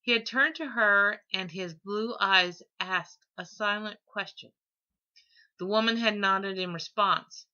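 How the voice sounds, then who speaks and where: A woman reading an audiobook story as expressive scripted narration, on a lo-fi recording. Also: mid-range voice, slow. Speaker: audiobook reader.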